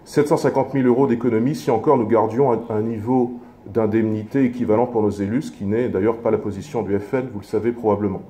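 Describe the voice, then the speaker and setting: A man speaking as an opposition councillor in a formal political speech, measured, with halting, self-corrected phrasing. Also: on the low side, fairly even intonation, dark tone.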